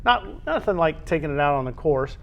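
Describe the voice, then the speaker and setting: A man's voice: fairly high pitch, lively intonation, fairly quick, gravelly, dull audio. An American man co-hosting a casual discussion about sports. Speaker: co-host.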